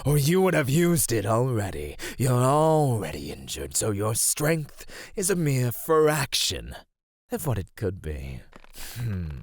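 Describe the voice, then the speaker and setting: Man voicing a deep, slow, menacing serpent monster. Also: husky, dry recording, clean studio sound, exaggerated voice. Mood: threatening, haughty. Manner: slow, deliberate, ornate menacing speech with whispered asides. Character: male serpentine monster predator, ancient, patient, menacing, teasing. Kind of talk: ASMR roleplay, scripted acting.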